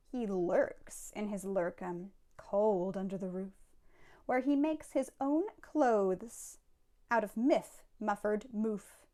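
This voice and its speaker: A woman reading a children's storybook aloud expressively, with dramatic pauses and emphatic phrasing. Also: a little high, a little mellow.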